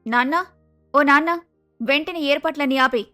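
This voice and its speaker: A woman's voice: high-pitched, brisk, sharp tone, soft background music. A woman voicing an arrogant, spoiled young adult daughter in a cartoon moral story, with a haughty, bossy manner. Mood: spiteful, whining.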